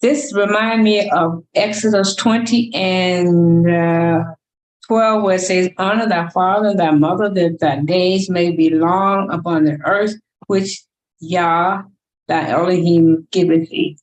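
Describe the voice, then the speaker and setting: A woman co-host reciting a scripture verse slowly and solemnly. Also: fairly low pitch, fairly even intonation, darkish tone, echoey room, rough recording.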